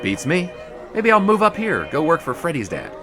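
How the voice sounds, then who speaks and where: An actor playing a young 1920s college sportswriter who narrates in ornate prose and banters teasingly. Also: male voice, a little high, huge pitch swings, normal speed, crowd noise. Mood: playful. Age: young adult. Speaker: student sports writer. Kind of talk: 1920s radio drama. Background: music.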